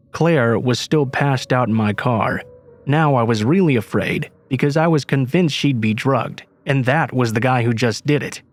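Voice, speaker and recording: A man reading a true horror story aloud in steady, measured scripted narration. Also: mid-range voice. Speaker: narrator reading submitted stories. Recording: faint music underneath.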